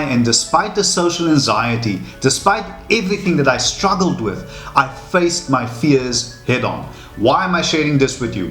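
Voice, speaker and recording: A man's voice: fairly high pitch, a bit bright. Earnest man, echoey room. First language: Afrikaans. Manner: earnest, measured, with frequent repeated words. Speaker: channel host. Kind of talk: reflective personal talk to camera. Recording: echoey room with faint music.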